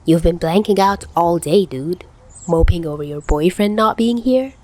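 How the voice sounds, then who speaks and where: A woman voicing a cocky, teasing teenage schoolboy in an audio story, loud and animated. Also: fairly low pitch, normal speed, close to an everyday voice. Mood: accusing, concerned.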